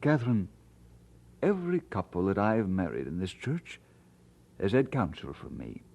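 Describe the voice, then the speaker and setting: An actor playing an earnest, playful and devoted young Scottish preacher in an audio drama, speaking expressively. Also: male voice, low voice, huge pitch swings, moderate pace, vocal fry, a little mellow, full character voice. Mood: earnest, firm. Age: young adult.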